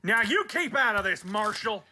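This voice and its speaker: Guy doing belligerent western bully, radio drama, bossy, sharp voice that jumps around. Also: sits high, animated, normal speed, heavily acted. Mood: menacing. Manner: threatening, domineering.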